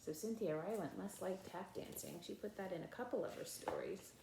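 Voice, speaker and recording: A woman reading a children's picture book aloud in an expressive, emphatic way, with casual asides. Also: lowish voice, fairly quick, creaky, noisy background. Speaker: read-aloud storyteller. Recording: echoey room.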